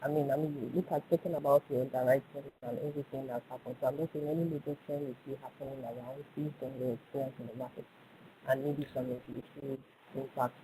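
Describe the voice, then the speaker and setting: A man's voice: medium pitch, steady tone, fast, mellow, low-quality recording. A man asking spontaneous questions as an analyst on a conference call, over a slightly hissy line.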